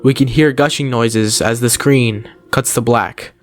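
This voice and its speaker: A man's voice: medium pitch, fairly even intonation, measured pace, background noise. A man narrating scripted recap commentary on horror videos in a casual, explanatory storytelling way. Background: background music.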